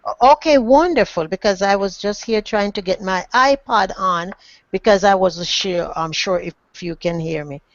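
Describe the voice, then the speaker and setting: A middle-aged woman with a Jamaican accent, speaking formally and earnestly as a motivational coach, with deliberate, emphatic phrasing. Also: mid-range voice, dry recording. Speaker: guest, transformational coach. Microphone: online webinar connection. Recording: dry, dull lo-fi webinar audio.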